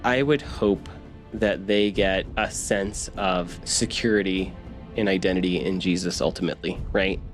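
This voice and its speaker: Lower-voiced man in interview. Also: normal speed, faint music behind. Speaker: executive producer, interviewee. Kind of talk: conversational interview.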